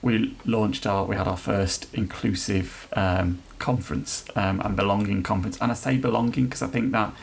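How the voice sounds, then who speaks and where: Guy from an nhs trust talking inclusion on a podcast, earnest, stops and restarts a lot, super gravelly. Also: fairly low pitch, fairly even intonation, a little hiss or hum. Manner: earnest, halting, many restarts. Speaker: NHS trust leader chairing an inclusion council. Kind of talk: podcast interview answers.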